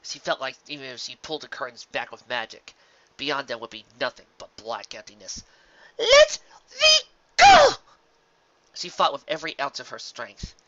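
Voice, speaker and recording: A man reading a fan fiction story aloud as narrator, in an expressive storytelling style. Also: mid-range voice, fairly quick, highs cut off, noisy background. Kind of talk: expressive story narration with occasional casual asides.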